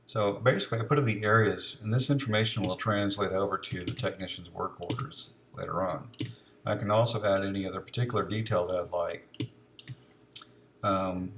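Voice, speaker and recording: A man with a low voice talks through a software screencast one step at a time. His delivery is informal and instructional. The recording is clearly noisy, with keyboard typing and mouse clicks behind him. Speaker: software demonstrator. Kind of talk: casual step-by-step screencast walkthrough. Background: noise with keyboard typing and mouse clicks.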